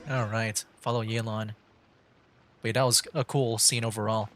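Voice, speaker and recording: A man's voice: on the low side, flat delivery, normal speed. Casual young male game streamer. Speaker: livestreamer, VTuber gamer. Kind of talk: casual live game reaction.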